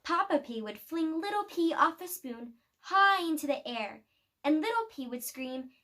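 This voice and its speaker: High-voiced woman reading a children's storybook. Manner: expressive storytelling with deliberate pauses. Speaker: storybook reader. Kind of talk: children's picture-book read-aloud.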